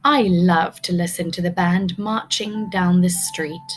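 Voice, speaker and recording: Slow-paced woman reading a storybook aloud. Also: on the low side, soft background music. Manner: expressive, prompting listeners with questions. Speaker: early learning teacher reading a picture book aloud. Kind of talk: read-aloud storytime with questions to children.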